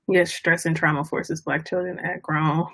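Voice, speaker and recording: A woman hosting a casual book discussion, speaking thoughtfully and haltingly as she searches for her words. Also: low voice, little pitch movement, a bit bright, no room sound. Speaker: book club discussion host.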